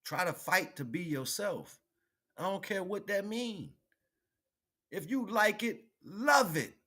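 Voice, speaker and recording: A man giving a rambling, informal spiritual monologue, preaching in an animated way with repeated phrases and slangy check-ins with his listeners. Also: high voice, takes it slowly. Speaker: spirituality vlogger, livestream host.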